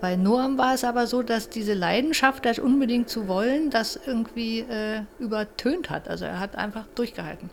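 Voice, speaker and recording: A middle-aged woman, a lecturer, recalling things in a spontaneous interview in an office, halting as she thinks aloud. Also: a little high, gravelly, a little mellow, a little hiss or hum.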